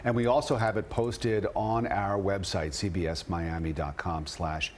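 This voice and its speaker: A man's voice: sits low, steady tone, measured pace, slight background noise. A man with an American accent presenting the news as a television anchor.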